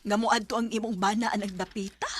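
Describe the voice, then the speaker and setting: A woman plays a skeptical, anxious rural mother in a radio drama. Her medium-pitched voice is distinctly husky, captured close and dry. She has a Cebuano Filipino accent. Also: fairly even intonation, a little fast, dry recording, natural voice. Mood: worried, reproachful. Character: doubting, worried village mother. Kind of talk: Bisaya radio drama acting.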